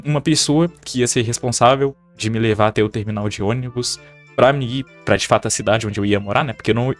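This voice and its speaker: A young Brazilian man with a Minas Gerais accent, telling a personal story to his viewers in a chatty, casual way full of tag questions. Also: medium pitch. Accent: Brazilian Portuguese, Minas Gerais. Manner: chatty, frequent tag questions, lively bursts. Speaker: vlogger.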